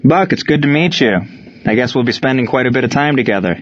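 A man's voice: mid-range voice, expressive, fast, no room sound, highs cut off, lightly acted. A man voicing a firm, plain-spoken baseball coach in a wartime audiobook story. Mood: friendly, steady. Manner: forceful, plain-spoken, barks orders. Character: commanding male wartime baseball coach, steady but firm.

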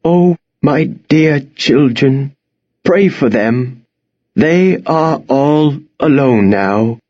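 Man as a slow, frail, genteel old woman. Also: medium pitch, a little mellow, slightly rough, highs cut off. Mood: tearful, pleading. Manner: halting, formal storytelling. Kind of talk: audiobook character acting.

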